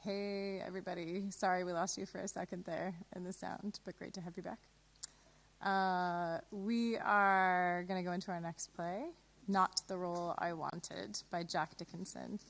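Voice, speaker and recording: A woman's voice: fairly low pitch, little pitch movement, normal speed, a bit bright. A woman hosting a live broadcast, introducing radio plays. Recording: clear background noise and ambience.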